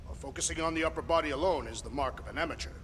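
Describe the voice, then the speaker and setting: An actor playing an earnest, literal-minded alien warrior with formal, stilted diction in a video game. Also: male voice, on the high side, racing, fairly bright tone, big-room sound, slight background noise, natural voice. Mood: keen, intent.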